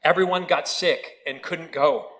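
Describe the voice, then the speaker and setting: A man's voice: a little high, a little slow. A man preaching a sermon in a church, telling stories with touches of humor. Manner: storytelling with humor, animated quoted voices.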